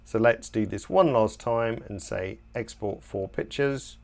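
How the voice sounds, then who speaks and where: A man in his forties presenting a live software tutorial from a home office, talking in a relaxed, chatty way with frequent fillers, and his voice is slightly worn. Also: on the low side, unhurried, creaky. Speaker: software trainer hosting a webinar. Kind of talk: live screen-share tutorial.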